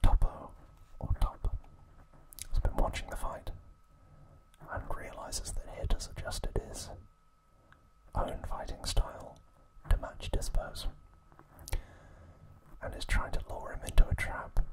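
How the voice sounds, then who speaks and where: Man whispering very slowly, ASMR style. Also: low-quality recording. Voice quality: breathy, unvoiced whisper. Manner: slow, soft, gentle delivery. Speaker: ASMR host. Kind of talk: ASMR whispered episode recap.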